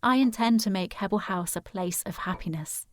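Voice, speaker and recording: A woman's voice: medium pitch, normal speed, slight background noise, close to an everyday voice. An actress playing a resolute, well-bred young woman in a period audio drama, with a formal, poised and earnest manner. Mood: quietly resolved.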